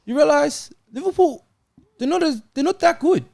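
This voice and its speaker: A man's voice: extremely high pitch, animated, moderate pace, creaky, darkish tone. A man in a casual football debate as a panellist, argumentative and insistent, repeating his points.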